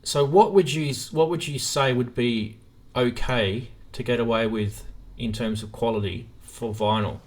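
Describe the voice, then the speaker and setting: A man's voice: medium pitch, a little slow, hardly any pauses. An Australian man hosting a casual live chat about music gear. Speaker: live stream host.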